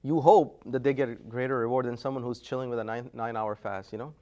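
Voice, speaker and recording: Male lecturer, energetic classroom teacher, call-and-response style, middle-pitched. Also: quick pace, dull audio. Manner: energetic, quizzing the class, rising loudly to prompt answers. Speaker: Islamic studies teacher.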